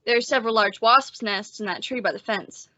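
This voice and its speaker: A woman's voice: a little high, fairly quick, pushed and bright, dry recording, dull audio. A woman playing a timid, bookish young man made sheriff, with a hesitant, stammering, anxious manner, in an old-time western radio drama. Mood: shy, earnest. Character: timid, bookish young male sheriff, nervous insect collector.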